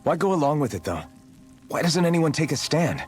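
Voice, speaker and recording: A man's voice: fairly high pitch, very animated, a little fast, a little mellow. A man playing an earnest, idealistic young hero in a video game cutscene, sincere and questioning. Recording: music behind the voice.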